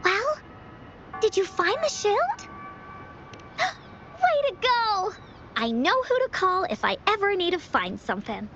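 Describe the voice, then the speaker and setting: A woman voicing an excitable, adventurous little girl in an anime-style video game, with a theatrical, eager delivery. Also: very high-pitched, takes it slowly, pauses often, dull audio, slight background noise, heavily acted. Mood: delighted.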